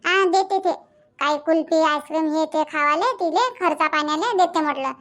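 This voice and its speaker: A woman's voice: very high voice, moderate pace, fairly bright tone. A woman voicing a young, practical village mother in a cartoon comedy, chatty and colloquial, with a rural Vidarbha Marathi accent. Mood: agreeable, explaining.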